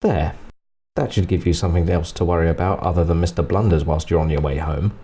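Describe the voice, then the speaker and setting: A man presents a scripted comic review in a low, mellow voice. His delivery is dry and sarcastic, like a wry commentary on what he has been watching. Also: fairly even intonation, fast, slight background noise. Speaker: presenter of a film review series. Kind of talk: scripted comedic review narration.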